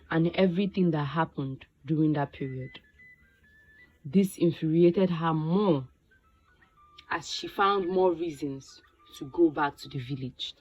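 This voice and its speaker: A woman narrating a scripted folktale in a measured, deliberate way, phrase by phrase. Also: deep voice, a little mellow, dull audio. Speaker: storyteller.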